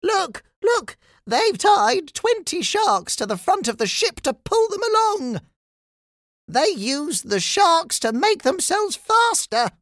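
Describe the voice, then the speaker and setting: A man voicing an excitable, urgent male pirate crewmate in a children's story. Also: high-pitched, moderate pace, hardly any pauses, dry recording, studio quality, voice pushed up, exaggerated voice. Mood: shocked, indignant. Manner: exclamatory, urgent.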